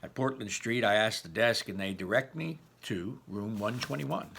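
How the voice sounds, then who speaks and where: A man reading a novel aloud in an expressive, emphatic, informal way, like a teacher, with personal asides. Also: lowish voice, moderate pace, creaky, a little hiss or hum.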